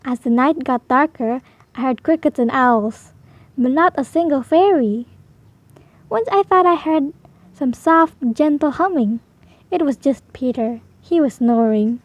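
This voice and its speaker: A woman with a high voice reads a children's storybook aloud. Her delivery is expressive and animated, lifting for the story's dialogue. The sound is dry and close, with a slightly dull edge. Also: a little slow, no echo. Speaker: storybook reader.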